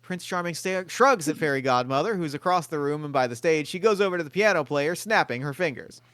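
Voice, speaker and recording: A man narrating screenplay stage directions in a table read, brisk and matter-of-fact. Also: a little high, animated, fairly bright tone, clean tone.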